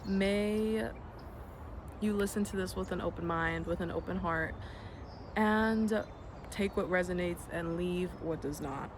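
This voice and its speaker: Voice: mid-range voice, unhurried. A young adult vlogger from the United States, speaking in an earnest, reflective way with frequent ums and some casual swearing. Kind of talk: reflective personal vlog. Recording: noisy background with birds and passing vehicles.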